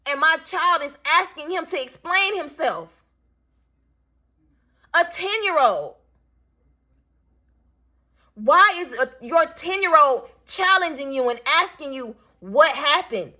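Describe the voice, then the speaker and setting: A raspy-voiced woman in her thirties, a single parent venting bluntly and with swearing as she tells a story to her viewers. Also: high voice, lively intonation, takes it slowly, long pauses, sharp tone. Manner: blunt, profane, emphatic.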